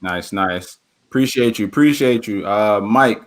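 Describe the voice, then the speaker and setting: A man hosting a casual video call chat, talking in a relaxed way with easy slang. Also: on the low side, unhurried. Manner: relaxed, warm slang-laced talk. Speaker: host.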